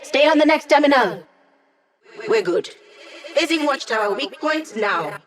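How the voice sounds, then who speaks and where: A woman's voice: high-pitched, lively intonation, unhurried. A sarcastic young hacker woman from a video game. She talks casually and teasingly, tossing out quips as she guides her squad. Her delivery is wry and conversational. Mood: briskly instructive. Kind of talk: scripted video game character dialogue.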